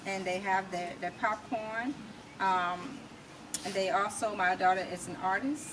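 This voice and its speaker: Woman, American accent, middle-aged, emphatic interview guest, mid-pitched voice, distant mic, clear background noise. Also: fairly even intonation, a little slow. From United States. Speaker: interview guest, massage therapist and music artist. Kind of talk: conversational interview.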